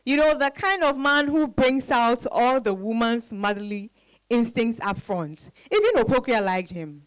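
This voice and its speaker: A woman's voice: fairly high pitch, a little slow, smooth, natural voice, low-quality recording. Self-possessed West African woman, played by an actress. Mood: candid. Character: self-possessed, teasing educated woman, a married man's lover. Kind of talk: dramatized novel dialogue.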